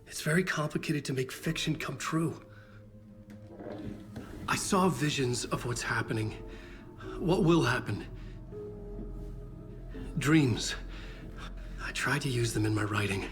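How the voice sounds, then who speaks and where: A man playing an anxious, haunted novelist in a supernatural video game, speaking in a brooding, halting, earnest way. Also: medium pitch, animated. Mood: brooding, troubled. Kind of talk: cinematic game monologue and dialogue. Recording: dramatic music behind the voice.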